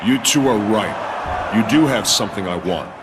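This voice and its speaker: A man playing an arrogant, menacing wrestling champion in a video game, cocky, boastful and deliberate. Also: on the low side, expressive, over a noisy crowd, heavy background noise, natural voice. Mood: cold threat.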